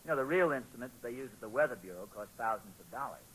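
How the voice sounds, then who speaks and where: A man's voice: medium pitch, animated, very fast, fairly bright tone, a little hiss or hum, close to an everyday voice, poor audio. An actor playing a well-meaning, flustered father in 1940s radio comedy-drama, hedging and backpedaling in a homespun way. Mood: casual, doubtful. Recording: 1940s radio broadcast recording.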